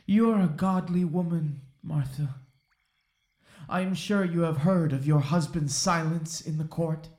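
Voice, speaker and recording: A dutiful but uneasy male Puritan sheriff played by a man in a period audio drama. He speaks slowly and formally in a soft, dark tone within an echoing room. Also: fairly high pitch, lightly acted. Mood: restrained, coaxing. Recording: roomy, echoing sound.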